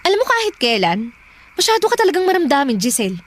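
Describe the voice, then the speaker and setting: A woman playing a brash, shameless older sister in a Filipino radio drama, with a dismissive, sharp-tongued manner. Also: sits high, big pitch swings, fast. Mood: irritated.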